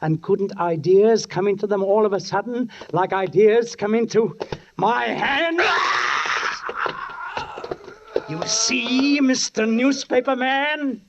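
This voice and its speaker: An actor playing a tormented, menacing condemned strangler in theatrical, brooding 1940s radio thriller style. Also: male voice, sits high, unhurried, background noise. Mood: musing menace. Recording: dull 1940s radio broadcast.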